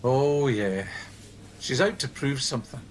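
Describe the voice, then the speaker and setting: A man's voice: medium pitch, measured pace, slight background noise, close to an everyday voice. A man playing a conscientious helpline team leader in a naturalistic radio play, reasonable and mildly defensive. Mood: measured, defensive.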